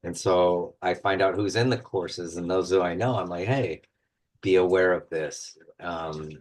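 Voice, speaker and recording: Deep-voiced man sharing teaching tips. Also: unhurried. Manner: conversational, thoughtful, many fillers. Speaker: university instructor. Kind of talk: panel discussion.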